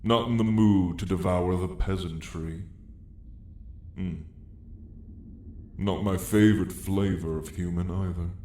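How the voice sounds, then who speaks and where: A man voicing a haughty, sardonic dragon in a slow, condescending, theatrical drawl for an ASMR roleplay story. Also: deep voice, fairly even intonation, mellow, echoey room, slight background noise. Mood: mocking. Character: haughty, sardonic dragon, apex predator of a mountain.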